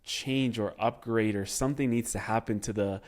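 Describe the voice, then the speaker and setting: A man hosts a podcast interview in a somewhat low voice that is still hoarse as it recovers. He talks in a reflective, casual way, circling around his questions before he asks them. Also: steady tone, normal speed, darkish tone. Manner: reflective, many fillers and restarts. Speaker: podcast host.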